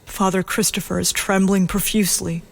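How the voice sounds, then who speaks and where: A woman narrating a scripted horror audio drama in measured, short present-tense statements. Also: mid-range voice, little pitch movement, creaky, background noise. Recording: loud music under the voice. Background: loud music.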